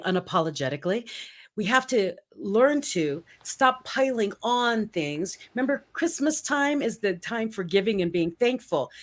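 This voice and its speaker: A woman hosting a live-stream talk show, chatting in an upbeat way and laughing often. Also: mid-range voice. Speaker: host. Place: home in Colorado.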